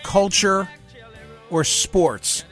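A man hosting a radio talk show, reading news aloud and commenting conversationally with emphatic, dramatic pauses. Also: high voice, a bit bright, faint music behind.

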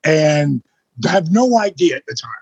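Husky high-voiced older man, blunt. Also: expressive, normal speed, a little mellow, no echo, muffled recording. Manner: blunt, profane, emphatic. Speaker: guest. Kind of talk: casual storytelling in an interview.

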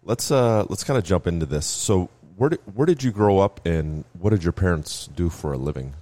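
A man's voice: low-pitched, expressive, normal speed, dark tone. A man hosting a podcast interview, giving short affirmations and friendly thanks. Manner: brief affirmations, friendly wrap-ups. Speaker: podcast host. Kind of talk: casual interview. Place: brewery taproom.

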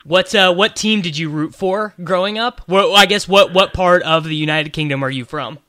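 A man's voice: high voice, moderate pace, bright, forceful tone, dry recording, clean studio sound. A man hosting an interview podcast, asking eager, rambling questions about football. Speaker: podcast host. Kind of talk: casual interview.

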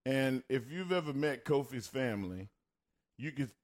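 A man's voice: mid-range voice, expressive, normal speed. A man with a Texas country accent, co-hosting a casual podcast chat and telling stories in an easygoing way. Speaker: podcast co-host.

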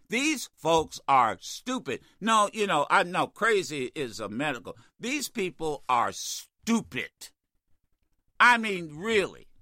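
A man hosting a talk radio show, ranting in an animated, exasperated way with many stammered restarts, his voice getting worn. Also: fairly high pitch, dry recording, studio quality. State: losing his voice. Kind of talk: opinionated talk radio rant.

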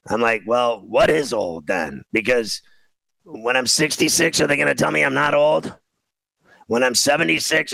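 A man in his fifties with a gravelly, fry-laden voice, talking as a show host. He rambles in a casual, exasperated way, poking fun at himself with slangy griping. The sound is close and very dry. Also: mid-range voice, pushed and bright, no echo, rough recording. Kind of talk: casual rambling comic rant.

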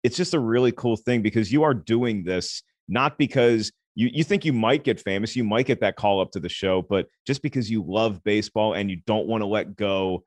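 A man with a deep voice, a film critic chatting as a podcast guest and telling relaxed stories. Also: normal speed, few pauses, dry recording. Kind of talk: podcast conversation.

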